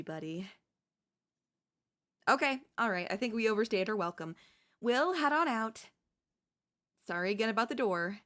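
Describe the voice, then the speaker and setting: A woman's voice: mid-range voice, close to an everyday voice. A woman playing a chatty, cheeky female zombie in a comedic audio roleplay, breezy and falsely friendly. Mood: teasing, friendly. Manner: breezy coaxing, falsely friendly, awkward backpedalling.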